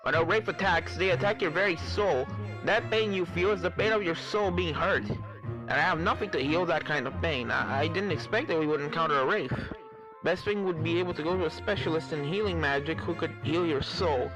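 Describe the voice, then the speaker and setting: A man's voice: a little high, a little fast, over music, natural voice, poor audio. A man voicing a caring, learned pony professor in a fan fiction reading, earnest and given to explaining. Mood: concerned. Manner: earnest, explanatory, stammers when flustered.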